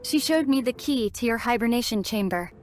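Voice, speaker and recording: A woman's voice: on the high side, lively intonation, fairly quick, darkish tone, natural voice. A woman playing a solemn, resolute young princess in a video game, speaking earnestly and formally as if burdened by old guilt. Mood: sorrowful, resolute. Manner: earnest, formal storytelling delivery. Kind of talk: dramatic video game dialogue. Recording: studio voice over background music.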